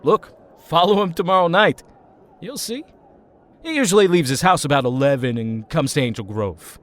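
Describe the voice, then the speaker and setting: A man's voice: on the high side, lively intonation, normal speed, a bit bright. A man playing a drunk, bitter and jealous young ex-hero in an audio drama, with taunting, resentful outbursts. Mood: mocking, sneering.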